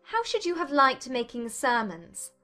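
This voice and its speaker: Woman playing a high-voiced, witty young lady. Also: very animated, a little slow, sharp tone, faint music behind, full character voice. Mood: arch, teasing. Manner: arch, composed. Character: witty, poised young woman, politely teasing. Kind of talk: dramatized audiobook character acting.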